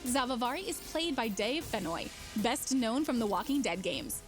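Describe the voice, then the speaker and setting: A woman reading scripted promotional narration as a showcase presenter, with polished, measured announcer delivery. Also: a little high, animated. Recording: prominent music under the narration.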